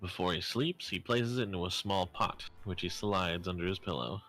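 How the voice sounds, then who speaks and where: Man playing a pompous, low-voiced gentleman detective. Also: moderate pace, soft background music. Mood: lofty. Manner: pompous, formal, old-fashioned phrasing. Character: eccentric, self-assured gentleman detective, lofty and eloquent. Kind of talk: fan dub acting of a video game.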